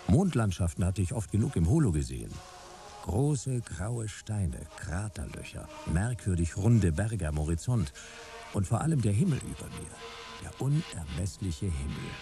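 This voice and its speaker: An actor playing a hard-boiled private detective who narrates a sci-fi radio play in terse, wry, dramatic fragments. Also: male voice, deep voice, big pitch swings, measured pace, warm tone, faint music behind, exaggerated voice. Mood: dramatic, tense.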